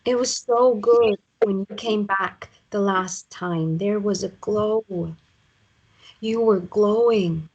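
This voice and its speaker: A woman voicing a frail, ailing wife in a drama script read, gently pleading. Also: medium pitch, takes it slowly, dull audio, slight background noise. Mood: weak, wistful.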